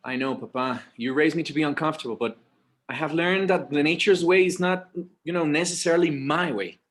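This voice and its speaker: A man's voice: on the high side, fairly quick, close to an everyday voice. A man acting as an exasperated but dutiful forty-year-old son, hesitant and weary, in a naturalistic table read.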